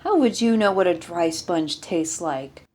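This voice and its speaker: A woman's voice: on the low side, lively intonation, measured pace, a little mellow. A woman voicing cheeky, griping military squad members in an audiobook story, with a wisecracking manner. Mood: wry, griping.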